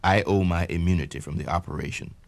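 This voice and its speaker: A man giving a measured, declamatory first-person reading of an autobiography for a vintage spoken-word record. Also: sits low, vocal fry. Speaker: narrator reading a memoir.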